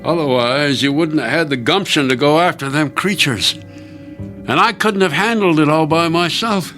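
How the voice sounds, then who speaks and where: A man's voice: fairly high pitch, normal speed, sharp tone, soft background music. A man acting as a blind, protective farmer, a folksy creature in a suspense radio drama. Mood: tender, relieved. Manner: folksy, rustic, plainspoken. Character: blind, protective male alien farmer, devoted husband.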